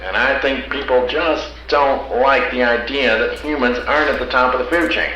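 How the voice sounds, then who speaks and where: A man's voice: medium pitch, normal speed, sharp tone, very echoey, lo-fi sound, a little hiss or hum, lightly acted. A man playing an earnest, matter-of-fact believer arguing his case in an audio drama. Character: earnest, reasoning male UFO believer.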